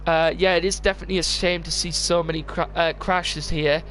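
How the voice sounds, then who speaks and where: A man commentating a live sim race, excitable and rushed, repeating himself as he chases the action and losing his voice. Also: a little high, a little hiss or hum, rough recording. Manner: excitable, rapid, words tumbling and repeated.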